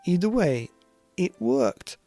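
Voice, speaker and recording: A man narrating a children's bedtime story in an expressive, theatrical and deliberate way. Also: high-pitched, faint music behind. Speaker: podcast narrator.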